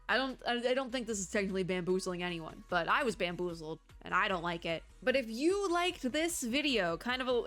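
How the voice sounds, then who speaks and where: A woman's voice: mid-range voice, a bit bright. A woman giving casual, chatty game commentary as a streamer and reviewer, with informal, playfully exasperated reactions.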